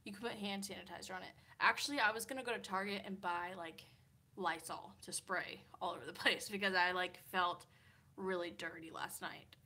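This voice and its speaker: A woman's voice: fairly low pitch, fairly even intonation, a little fast, pushed and bright. A woman in her early twenties from Kansas, chatting casually on a livestream from a hotel room, rambling with lots of "like" fillers. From Kansas, USA. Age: 20s. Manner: chatty, rambling, many "like" fillers, laughs often. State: tired. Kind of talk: casual livestream chat reading comments.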